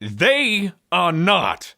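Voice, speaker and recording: A man's voice: high voice, huge pitch swings, drawn-out words, gravelly, pushed and bright, dry recording, studio quality, full character voice. A man playing a proud, indignant god of the forge in an audio drama, speaking in a formal, argumentative, theatrical way.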